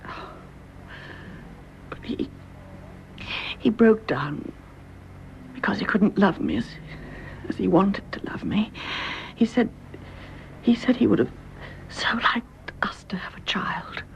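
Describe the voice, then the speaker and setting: An actress playing a distressed, defensive young British widow in a radio drama, breathy, hesitant and emotional. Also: female voice, medium pitch, expressive, slow pace, long pauses, in a whisper. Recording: muffled radio recording, clear background noise.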